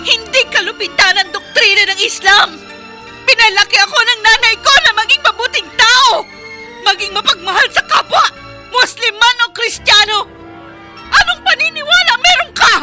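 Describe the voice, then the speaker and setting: A woman's voice: very high voice, normal speed. An actress playing a young woman who pleads tearfully and with passion in a radio drama. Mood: impassioned. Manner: impassioned, tearful. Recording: radio drama over loud music.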